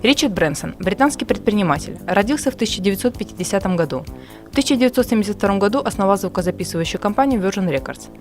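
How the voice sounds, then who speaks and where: A woman narrating a short biography in a crisp, factual voice-over. She reads extremely fast. Faint music plays under her. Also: mid-range voice, animated, a little mellow.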